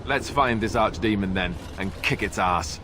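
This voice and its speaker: Man playing a deep-voiced, sincere warrior. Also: moderate pace, natural voice. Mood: grave, resolute. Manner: sincere, plain-spoken. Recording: clear background ambience noise.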